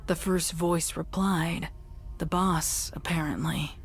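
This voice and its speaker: Low-voiced woman narrating over music. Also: steady tone, takes it slowly. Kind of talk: dramatic scripted narration of horror fan fiction. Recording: clear music behind the voice.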